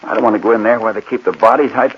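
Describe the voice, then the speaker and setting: An actor playing a nervous, hesitant young sidekick in a 1940s radio mystery. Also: male voice, fairly low pitch, racing, close to an everyday voice. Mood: frightened. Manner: hesitant, uneasy. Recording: muffled, noisy vintage radio recording.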